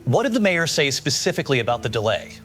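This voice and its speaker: Male news anchor, acted for audio drama: composed, formal, brisk bulletin delivery over music. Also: mid-range voice, sing-song, a bit bright. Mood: composed, serious. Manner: formal, measured newsreader delivery.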